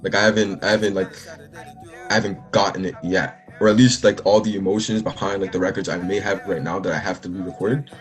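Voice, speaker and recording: Young man from the Bahamas, low-ish voice, rambling, faint music underneath. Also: fairly even intonation, quick pace. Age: young adult. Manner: rambling, many likes and restarts. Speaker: musician guest. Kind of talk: casual interview.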